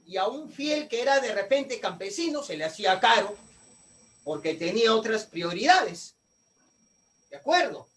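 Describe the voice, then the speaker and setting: A man's voice: very high voice, fairly bright tone, recorded from a distance, poor audio. A man lecturing a class, asking questions and answering them himself. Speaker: teacher.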